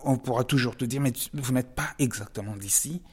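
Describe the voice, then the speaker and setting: Man, interview guest, measured, reflective, pausing, emphatic stresses. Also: medium pitch, a little mellow, slightly rough. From DR Congo.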